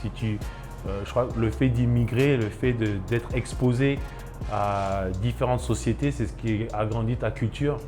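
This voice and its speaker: A man's voice: medium pitch, fairly even intonation, normal speed, music in the background. A man in his thirties from Ivory Coast, a chef and restaurant owner, speaking in a documentary interview with many hesitations and restarts.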